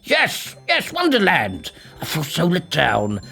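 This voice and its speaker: A man voicing a scatty, childish, excitable male storybook eccentric who stammers and repeats words frantically. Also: a little high, slow, vocal fry, soft background music, voice pushed up, full character voice. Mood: petulant.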